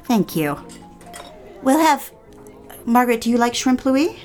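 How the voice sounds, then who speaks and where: An actress plays a naive young office girl with a fairly high voice. She is polite and proper, quick to apologise, in a period audio drama with soft music behind her. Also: sing-song. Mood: polite, eager.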